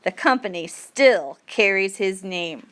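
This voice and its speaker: A woman reading an educational passage aloud as a teacher, with deliberate, measured phrasing for learners. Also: mid-range voice, animated, fairly bright tone, clean tone. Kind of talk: read-aloud educational narration.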